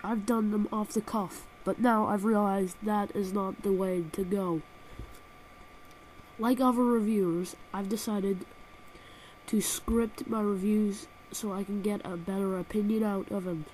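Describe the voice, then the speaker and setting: A woman's voice: mid-range voice, steady tone, unhurried, a little mellow, slight background noise, rough recording. A woman with a cold and a nasal voice, reviewing a video game with sarcastic, exaggerated comic rants, recorded on a built-in laptop microphone. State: has a cold.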